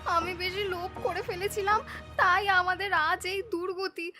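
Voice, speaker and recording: A woman voicing a sharp-tongued village woman in a cartoon. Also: extremely high pitch, steady tone, unhurried, faint music behind, exaggerated voice. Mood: tearful, resentful. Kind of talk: Bengali cartoon voice acting.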